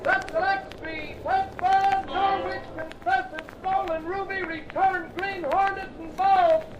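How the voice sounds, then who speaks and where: A man's voice: extremely high pitch, steady tone, takes it slowly, a faint crowd. A man in an old-time radio drama voicing a young newsboy hawking papers in a repetitive sing-song cry. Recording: muffled, noisy old radio with street din.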